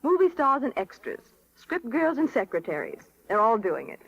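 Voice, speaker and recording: A woman's voice: on the high side, very animated, normal speed, creaky, a bit bright. An American woman reporting in scripted 1930s radio style. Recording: muffled 1930s radio broadcast.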